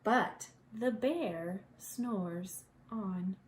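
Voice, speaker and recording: A woman reading a children's storybook aloud as a preschool teacher, with expressive delivery and playful asides for young listeners. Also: medium pitch, very slow, slight background noise.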